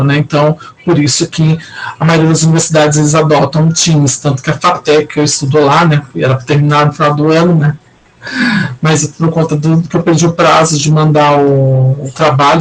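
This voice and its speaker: Young São Paulo teacher, high-pitched, rambling online lecture, recovering from a cold. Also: male voice, poor audio. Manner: rambling, many fillers and restarts.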